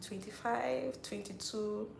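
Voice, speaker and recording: A woman's voice: mid-range voice, fairly even intonation, slow, gravelly, slight background noise. A Nigerian woman in her twenties chatting casually on a livestream, reading viewer comments aloud and often saying "like". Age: 20s. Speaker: YouTuber hosting a live Q&A. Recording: roomy echo.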